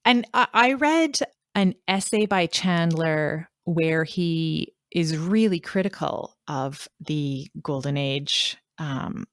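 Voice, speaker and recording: A woman with a low voice hosts a casual discussion. She speaks slowly and tentatively, feeling her way through each thought, in a dry, close recording. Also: animated, dry recording. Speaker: podcast host.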